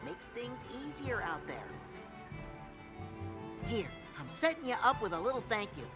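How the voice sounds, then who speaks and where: Chipper, swooping cat-like food seller. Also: female voice, fairly high pitch, sing-song, normal speed. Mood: cheerful, grateful. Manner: chatty, eager, flowery wording. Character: chipper female cat-like food purveyor creature. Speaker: game character. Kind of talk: video game voice acting. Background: game music.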